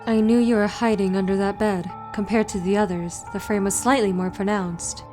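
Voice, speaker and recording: A woman's voice: medium pitch, fairly even intonation, over music, close to an everyday voice. A woman as a composed teenage girl detective. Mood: calm, probing. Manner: measured, formal, deliberate. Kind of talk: anime-style fan dub acting.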